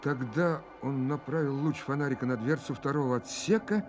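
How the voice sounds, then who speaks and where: A male narrator delivers scripted, dramatic storytelling for a radio play. His voice sits at a medium pitch, and music plays clearly beneath it. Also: moderate pace.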